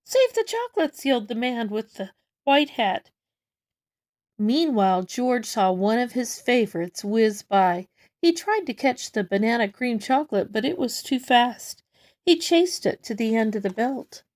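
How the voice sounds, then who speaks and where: A woman reads a picture book aloud for young children. Her voice is soft-edged and mellow, moving slowly with animated, expressive pitch. She leans on key words as she tells the story. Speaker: storybook reader. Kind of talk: children's read-aloud narration.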